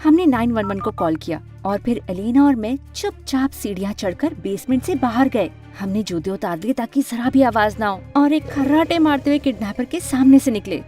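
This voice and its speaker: Female narrator for an animated story, high voice, dramatic first-person telling, light music bed. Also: normal speed, few pauses. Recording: music under the voice.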